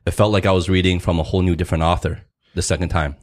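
A man's voice: sits low, fairly even intonation, racing. A man co-hosting a podcast, talking at length in a loose, conversational way. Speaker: podcast co-host. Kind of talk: casual podcast chat.

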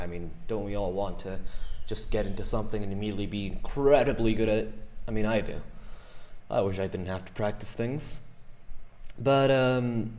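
A man's voice: on the low side, a little mellow. An eighteen-year-old man from Ecuador with an Ecuadorian accent, hosting his own podcast as a casual, rambling solo monologue full of fillers and self-deprecating asides. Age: teens. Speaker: podcast host. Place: room at home.

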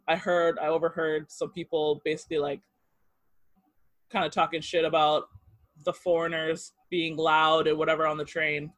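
A man in his thirties telling personal stories in an interview, halting with fillers and restarts and laughing easily. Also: on the high side, fairly bright tone, no echo. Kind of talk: casual storytelling interview.

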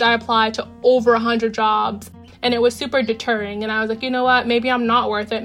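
A young woman in her twenties tells her own story in a deliberate, reflective way. Her voice sits slightly high with a flat, even pitch, and faint music runs underneath. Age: 20s. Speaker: interviewee. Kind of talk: personal account.

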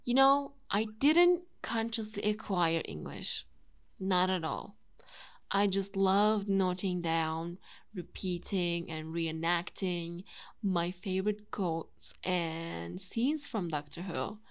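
Young Turkish-accented woman host, calm measured storyteller, takes her time. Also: on the low side. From Turkey. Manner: clear, measured explaining. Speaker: podcast host. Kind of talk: personal monologue.

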